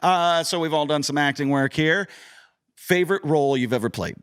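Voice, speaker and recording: A man's voice: fairly high pitch, normal speed, fairly bright tone, clear, resonant tone, no echo, clean studio sound. A man co-hosting a casual podcast, teasing the guests and moving the questions along. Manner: playful, teasing. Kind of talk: casual chat.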